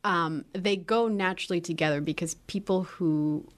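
A woman's voice: fairly low pitch, moderate pace. A young woman who teaches yoga, chatting casually on a podcast, laughing often and correcting herself as she goes.

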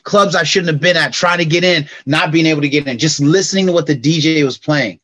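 Animated man telling a story. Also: fairly high pitch, fairly quick, no room sound. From California, United States. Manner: animated, slangy, acts out quotes in raised voice. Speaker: podcast guest. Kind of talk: casual storytelling interview.

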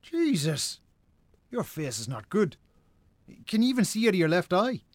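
An actor playing a farmer's brisk, exasperated brother in a radio drama, scolding impatiently in an Irish accent. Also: male voice, high-pitched, big pitch swings, no echo. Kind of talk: naturalistic radio drama acting.

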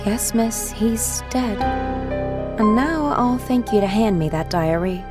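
Woman acting a firm nurse over loud music. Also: mid-range voice, slow, lightly acted. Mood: firm, humoring. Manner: firm, curt. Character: firm, brisk nurse minding a patient. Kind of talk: radio play acting.

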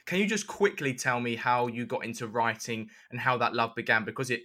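A man's voice: medium pitch, brisk, bright, forceful tone. A man hosting an interview podcast, speaking in an informal, chatty register. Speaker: podcast host. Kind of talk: scripted intro and casual interview.

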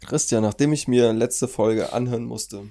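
A man's voice: medium pitch, moderate pace, mellow. A young man hosting a casual podcast, joking around as he quizzes his guests. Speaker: podcast host.

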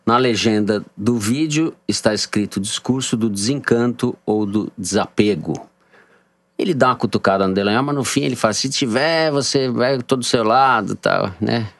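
A man's voice: medium pitch, moderate pace, fairly bright tone. A man with a São Paulo accent hosting a political podcast, bantering with co-hosts and reading listener messages in a jokey way. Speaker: podcast host. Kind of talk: casual political podcast chat.

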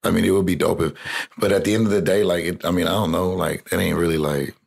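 A man's voice: sits low, fairly even intonation, fast talker, gravelly, a little mellow, slightly rough. A young man from South Texas, a guest in a casual interview, rambling with lots of "like" fillers and swearing.

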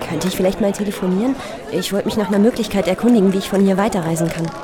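A woman's voice: medium pitch, fairly even intonation, rapid-fire, a loud crowd, lightly acted. An actress in an audio drama playing a polite young woman visiting a village, speaking in a casual, slightly hesitant conversational way.

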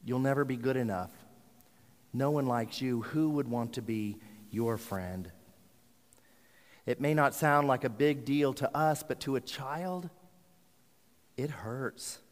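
A man preaching a sermon in earnest, deliberate phrases. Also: medium pitch. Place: large hall. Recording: echoey hall with long reverb.

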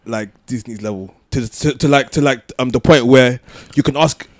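A man's voice: medium pitch, moderate pace, no room sound, clean studio sound. A man in casual podcast talk, hesitantly repeating words as he makes his point. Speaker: podcast panellist. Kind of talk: casual discussion among friends.

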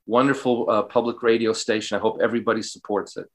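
A man's voice: fairly low pitch, fairly even intonation, quick pace. A man from New York with a New York accent and a light tenor tone, chatting casually as an interview guest. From New York state, USA. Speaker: folk musician, interview guest.

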